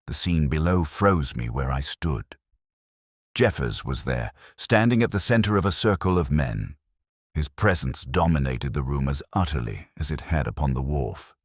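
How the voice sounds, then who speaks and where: A man narrating a detective story from a script in a measured, solemn, dramatic manner. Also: extremely low pitch, animated, no room sound, clean studio sound. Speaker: audiobook narrator.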